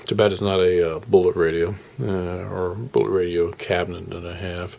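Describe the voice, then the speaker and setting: A man giving a casual, unscripted hands-on demonstration as a hobbyist, explaining in a halting, rambling, folksy way. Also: low voice, level tone, vocal fry, a little mellow. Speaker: hobbyist radio restorer presenting his project.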